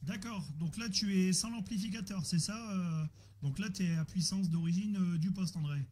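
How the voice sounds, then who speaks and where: A man with a slightly high voice talks casually as a radio operator. He is hesitant and repeats himself as he works through technical checks, with clear noise on the audio. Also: moderate pace. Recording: noisy amateur radio audio with static.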